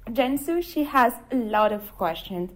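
A woman's voice: a little high, lively intonation, a little slow. A woman in her twenties from France, co-hosting a casual podcast, bubbly and keeping the talk moving. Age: 20s. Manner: bubbly, takes charge of the order. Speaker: podcast co-host.

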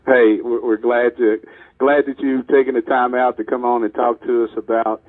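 A man co-hosting a law enforcement podcast, asking questions in a friendly, halting way that repeats words. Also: medium pitch, little pitch movement, no echo. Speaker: podcast co-host, police chief. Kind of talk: casual podcast interview. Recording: tinny phone-line audio.

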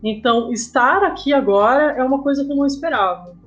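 Brazilian woman, interview guest, somewhat high-pitched, casual chat, soft music in background. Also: fast talker, dull audio. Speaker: interview guest, comic artist.

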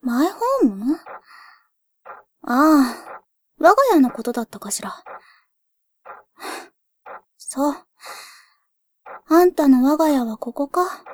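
A woman voicing a bitter, spiteful female rabbit spirit in a radio drama, speaking in cold, breathy half-whispers. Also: high-pitched, animated, slow pace, long pauses, no echo, clean studio sound. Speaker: rabbit character (ウサギ). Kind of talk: anime-style radio drama acting.

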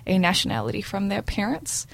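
A woman's voice: medium pitch, fairly quick, vocal fry. Chatty young woman, laughing often. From Brunei. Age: 20s. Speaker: guest, junior doctor. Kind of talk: casual interview chat.

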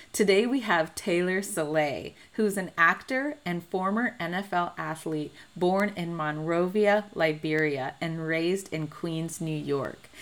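A woman's voice: fairly low pitch, a bit bright. A woman hosting a podcast, introducing guests and asking questions in an enthusiastic, gushing way. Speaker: podcast host, medical coordinator. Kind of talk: scripted intro then interview questions.

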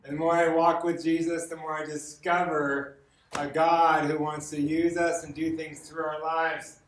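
A man's voice: a little high, little pitch movement, measured pace, a little hiss or hum. An American man giving an informal sermon with personal stories, conversational and repeating words for emphasis. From Los Angeles, United States. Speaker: guest preacher. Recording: roomy echo, distant from the mic.

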